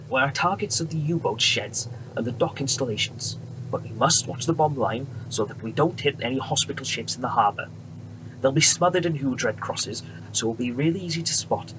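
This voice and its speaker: An actor playing a friendly, assured wartime bomber pilot with a British accent, brisk and reassuring, in a radio drama. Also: male voice, medium pitch, close to an everyday voice. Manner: brisk, reassuring, polite officer. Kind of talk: period radio drama acting. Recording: noisy aircraft engine background.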